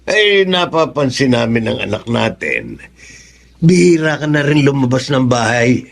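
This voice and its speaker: Husky, creaky middle-aged husband. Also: male voice, mid-range voice, very animated, measured pace, exaggerated voice. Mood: gently admonishing. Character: middle-aged husband and father, admonishing, amused. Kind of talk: Tagalog radio drama acting.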